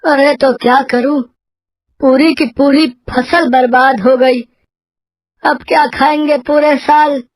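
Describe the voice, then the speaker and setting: A woman's voice: sits high, steady tone, takes it slowly, no echo. A woman voicing a poor, hesitant, worried male farmer in a cartoon village story. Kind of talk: cartoon moral story dialogue.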